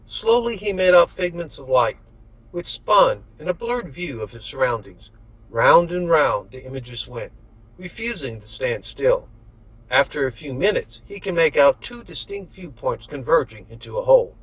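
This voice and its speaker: A man's voice: medium pitch, lively intonation, moderate pace, fairly bright tone, no echo, low-quality recording. A man reading his own novel aloud as scripted audiobook narration.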